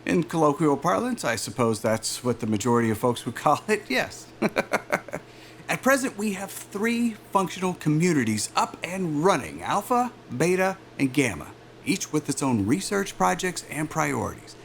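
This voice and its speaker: An actor playing an affable, chatty male corporate interviewer in an audio drama, jovial and smooth, with hesitant asides. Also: a little high, big pitch swings, moderate pace, a bit bright, slight background noise, full character voice. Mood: smooth pitch. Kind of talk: naturalistic audio drama acting.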